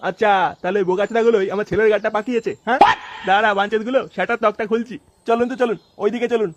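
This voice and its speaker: Male actor as foul-mouthed, short-tempered father for cartoon comedy; racing delivery over a clearly noisy track. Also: high voice, steady tone, racing, highs cut off, lightly acted. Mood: threatening. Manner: scolding, crude, blustering. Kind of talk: exaggerated Bengali comedy cartoon dubbing.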